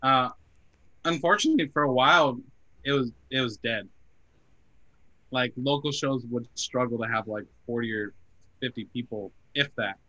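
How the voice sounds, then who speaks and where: Young male musician guest, casual rambling chat, bright tone, dry muffled recording. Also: mid-range voice, normal speed, pauses often. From Phoenix area, US. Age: 20s. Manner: chatty, lots of "like" fillers, quotes people in his stories. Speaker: band member guest.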